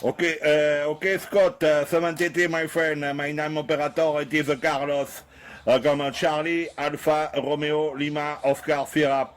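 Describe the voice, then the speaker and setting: Halting Frenchman on CB radio, little inflection. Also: on the high side, flat delivery. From southern France. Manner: halting, apologetic non-native English with repetitions. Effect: radio transmission. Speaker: amateur CB radio operator. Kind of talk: radio contact exchange. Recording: CB radio contact audio.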